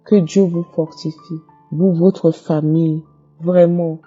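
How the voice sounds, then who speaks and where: A woman's voice: on the low side, fairly even intonation, takes it slowly, dark tone, husky, highs cut off, faint music behind. A woman giving a fervent testimony, repeating phrases and breaking into praise. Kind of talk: emotional spoken testimony.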